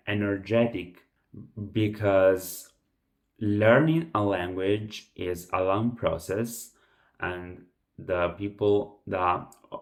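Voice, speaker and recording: Italian guy w/ italian accent, lowish voice, really slow, stammers and repeats words, just giving advice unscripted. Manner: halting, with frequent stammered restarts and repeated words. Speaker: language-learning video host. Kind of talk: unscripted advice talk to viewers.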